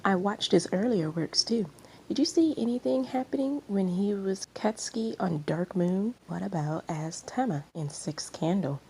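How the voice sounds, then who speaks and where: A woman's voice: medium pitch, moderate pace, mellow, natural voice, poor audio. A woman voicing a smug, spiteful, flirty actress who spreads rumors, over faint background noise. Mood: smug, insistent.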